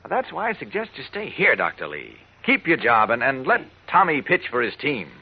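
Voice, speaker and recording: Emphatic, earnest 1940s radio hero. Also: male voice, mid-range voice, wildly swooping pitch, normal speed, slightly rough, full character voice. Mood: earnest, urgent. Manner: persuasive, emphatic. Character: earnest, resolute man urging a frightened family to stand firm. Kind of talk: 1940s radio drama acting. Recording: muffled old radio recording.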